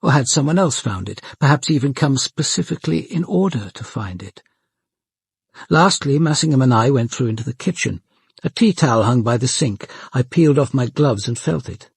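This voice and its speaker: Serious detective in a radio mystery, really raspy w some fry, careful observant delivery. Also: male voice, mid-range voice, lively intonation, no echo, clean studio sound, exaggerated voice. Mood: grave, methodical. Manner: grave, deliberate, precise. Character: measured, observant male police detective narrating a murder investigation. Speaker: detective narrator. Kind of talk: radio crime drama narration and dialogue.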